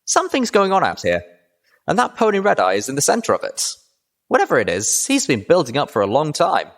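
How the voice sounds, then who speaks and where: A man's voice: a little high, wildly swooping pitch, fairly quick, gravelly, voice pushed up, exaggerated voice. A man voicing an earnest, determined young female pony adventurer in an audiobook. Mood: intent.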